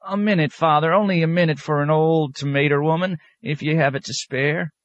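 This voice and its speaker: A man's voice: a little high, fairly even intonation, a little fast, no room sound, highs cut off, voice pushed up, natural voice. A man voicing an old, toothless tomato woman who drawls in rustic, old-fashioned speech. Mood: wheedling.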